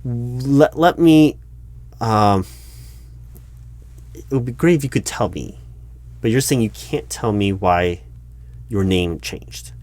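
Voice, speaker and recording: A man playing a baffled, exasperated recruiting manager in a one-sided ASMR job interview roleplay, speaking aloud with halting, repeated words. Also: mid-range voice, darkish tone, no room sound, natural voice. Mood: puzzled, probing. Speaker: roleplay performer.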